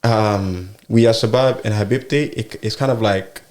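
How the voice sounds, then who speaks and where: A young man, a musician guest, talking casually and thoughtfully in an interview, with many pauses and fillers. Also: lowish voice, a little mellow.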